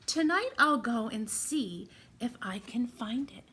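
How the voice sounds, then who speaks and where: A woman's voice: a little high, expressive, slow pace, sharp tone, a little hiss or hum, heavily acted. A woman voicing a small, innocent male toy bear in a children's storybook, musing to himself with wonder. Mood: earnest resolve.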